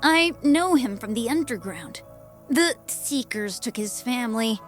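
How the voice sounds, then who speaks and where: Female scout among outlaws, earnest and assured, high voice, unhurried, played by a woman, audio drama over music. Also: lively intonation. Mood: earnest, assuring.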